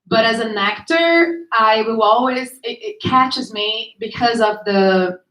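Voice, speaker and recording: Woman actor-writer, higher voice, candid and earnest; echoey room sound. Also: slow, fairly bright tone. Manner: earnest, self-conscious about her speech. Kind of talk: candid panel answer. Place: event room at a literary gathering.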